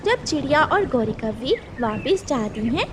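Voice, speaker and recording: A woman's voice: high voice, very animated, a little slow. A woman narrating a scripted children's story as its storyteller. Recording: clearly noisy background.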